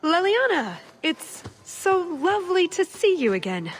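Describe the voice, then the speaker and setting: A woman voicing a quick-witted, scheming young elf in a video game cutscene. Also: very high voice, sing-song, exaggerated voice. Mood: strained politeness.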